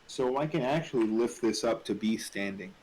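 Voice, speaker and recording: A man in casual conversation, halting and repeating words as he explains things. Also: mid-range voice, darkish tone. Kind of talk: casual chat. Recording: clearly noisy, keyboard clicks. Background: typing and clicks.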